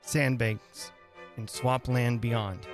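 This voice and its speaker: A man narrating a literary story dramatically, with measured, deliberate phrasing and pauses. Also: medium pitch, steady tone, faint music behind. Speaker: reader and host of a dramatic reading radio show.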